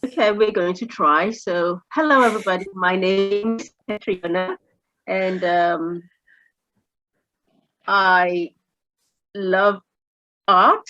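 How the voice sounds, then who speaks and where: Slow, hesitant woman with a dull, dry sound. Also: medium pitch, bright, forceful tone, no room sound, faint music behind. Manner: hesitant, long pauses between words. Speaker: workshop participant. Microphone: video call.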